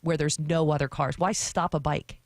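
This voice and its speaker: A woman's voice: deep voice, steady tone, moderate pace, no echo. A woman co-hosting a radio show, talking casually and off the cuff at a close studio mic. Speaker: radio co-host. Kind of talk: casual unscripted discussion. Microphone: close studio microphone.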